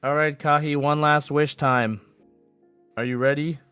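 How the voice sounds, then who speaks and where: A man's voice: fairly high pitch, fairly even intonation, measured pace, fairly bright tone, clean tone, no room sound, faint music behind. A man co-hosting a podcast, talking to listeners in a casual, informal and conversational way. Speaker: podcast co-host.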